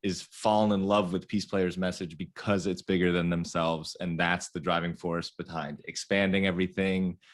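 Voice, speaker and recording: A man's voice: sits low, flat delivery, moderate pace, hardly any pauses. A man co-hosting a podcast over a video call, enthusiastic and rambling, often restarting his sentences. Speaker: podcast co-host.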